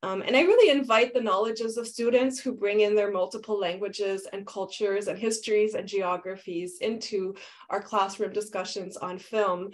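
A woman's voice: medium pitch, steady tone. Woman giving an academic talk over video call. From Canada. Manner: measured, with frequent ums and uhs. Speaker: film scholar presenting a conference paper.

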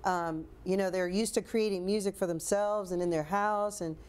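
A woman hosting a studio interview, attentive and quick to agree, on a small studio set. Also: medium pitch, fairly even intonation, a little mellow, clear, resonant tone. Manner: attentive, lots of agreeing murmurs. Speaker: interview host. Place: small studio stage with chairs and plants.

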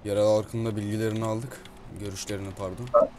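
A man's voice: fairly low pitch, little pitch movement, fast talker. A man improvising comic game commentary as a roleplay video host, swearing freely. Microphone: gaming mic at a computer. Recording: constant noise with keyboard and mouse clicks.

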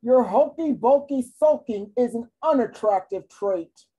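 A woman's voice: a little high, expressive, a little slow, mellow, husky. A woman voicing a blunt, straight-talking male octopus who scolds a friend in a children's storybook. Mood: scolding.

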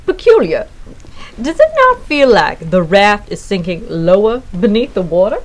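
A woman's voice: medium pitch, very animated, normal speed, close to an everyday voice. A woman playing a prim, oblivious castaway with a formal, mannered delivery in sketch comedy. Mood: politely unruffled. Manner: mannered, formal, politely self-serving. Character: prim, oblivious, genteel castaway on a life raft.

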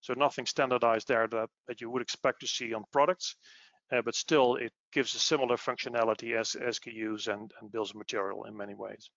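A man explaining business material in a webinar in a measured, halting way over an online call. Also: medium pitch, level tone, gravelly, a bit bright, no echo. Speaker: webinar presenter, tax expert. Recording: dull lo-fi online audio.

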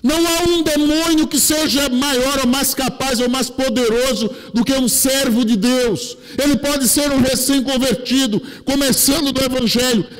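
A man's voice: very high-pitched, steady tone, moderate pace, few pauses. A middle-aged man with a Brazilian accent preaching a sermon as a pastor, emphatic and explanatory, on a headset mic in a church. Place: church pulpit, indoors. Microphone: headset microphone. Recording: long hall reverb.

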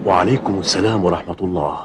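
A man voicing a gossipy, suspicious middle-aged fisherman in a cartoon, with deliberate, dramatic delivery. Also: low-pitched, noisy background, lightly acted. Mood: curious, friendly. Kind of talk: Arabic cartoon dubbing.

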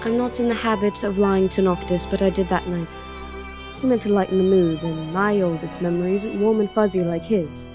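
A woman's voice: mid-range voice, fairly even intonation, a little fast, close to an everyday voice. A woman playing a grieving young princess in an audio drama, wistful and introspective, longing for her father. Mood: wistful, regretful. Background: music.

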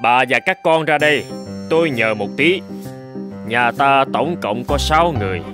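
A man plays a dignified older district chief in a cartoon folk tale. He speaks as a kindly man of authority, stately and measured. Music sits clearly behind the voice. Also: medium pitch, animated, natural voice. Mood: genial, testing.

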